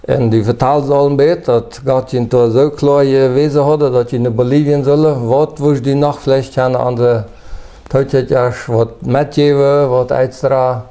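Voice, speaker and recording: Friendly host guy, mid-pitched, warm tone, asks short questions. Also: fairly even intonation, unhurried. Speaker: interviewer host. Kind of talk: conversational interview.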